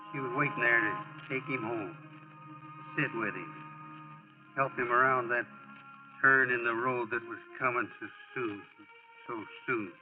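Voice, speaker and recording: An actor playing a folksy, sorrowful American storyteller narrating a 1940s radio drama. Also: male voice, medium pitch, natural voice. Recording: muffled old radio recording.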